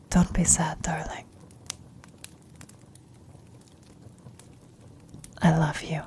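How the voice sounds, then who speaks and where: A woman playing a tender, serene elderly former adventurer on her deathbed in an ASMR roleplay, speaking in a reflective, breathy whisper. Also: low-pitched, flat delivery, extremely slow, a little mellow. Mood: gently consoling.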